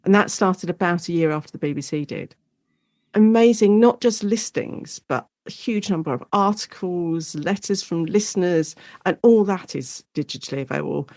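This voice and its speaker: A woman's voice: on the low side, normal speed, darkish tone, no echo, clean studio sound. A British woman, a guest academic, explaining her research in an enthusiastic, chatty, conversational interview style. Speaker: guest academic specialising in audio drama.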